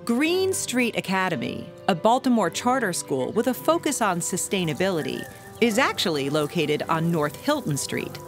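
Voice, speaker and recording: Lively woman narrating a TV segment. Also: fairly low pitch, normal speed, music in the background. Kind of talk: scripted TV narration.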